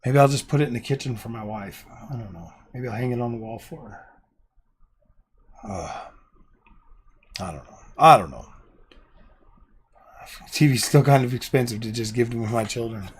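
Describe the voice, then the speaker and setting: A middle-aged man hosting a casual live stream from his home gaming room, rambling and indecisive, his voice going hoarse. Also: medium pitch, normal speed, long pauses, slight background noise. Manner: rambling and indecisive, repeats himself. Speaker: livestream host.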